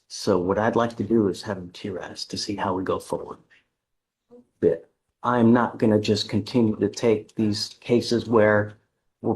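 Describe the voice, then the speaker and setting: A man speaking as a judge at a courtroom hearing, measured and deliberate, stern but patient. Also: lowish voice, rough recording.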